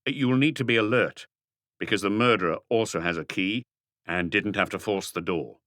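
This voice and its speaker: A man voicing an authoritative, deliberate senior detective in an audiobook, calmly giving practical instructions. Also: fairly low pitch, no room sound, studio quality, lightly acted. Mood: calm command. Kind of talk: audiobook character dialogue.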